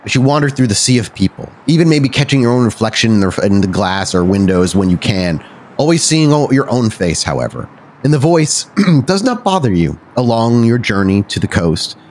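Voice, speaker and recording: A man's voice: medium pitch, very animated, normal speed, darkish tone, a little hiss or hum. A man working as game master, narrating a tabletop horror roleplay game in improvised second-person storytelling.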